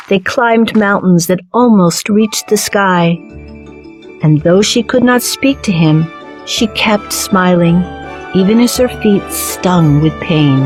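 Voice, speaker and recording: Slow-paced woman narrating a children's story. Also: medium pitch. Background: soft music.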